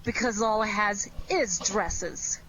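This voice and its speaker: A woman's voice: medium pitch, slow pace, fairly bright tone, lo-fi sound, slight background noise. A woman playing a wry, exclamatory young sweetheart in a 1940s-style radio detective drama, loyal and easily flustered. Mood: wry, sarcastic.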